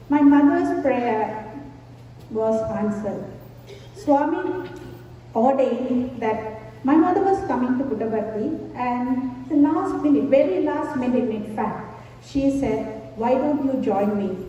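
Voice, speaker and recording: A woman from Sri Lanka giving a devotional talk to a gathering, slow, measured and reverent. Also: on the high side, mellow. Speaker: invited speaker at a devotional gathering. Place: large hall. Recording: echoey hall from far off, dull, faint hiss.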